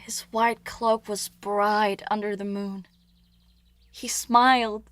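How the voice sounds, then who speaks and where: An actress playing a wistful, proud young princess, telling her story in measured, poetic theatre monologue. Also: medium pitch, level tone, a bit bright, no room sound. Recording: music under the voice.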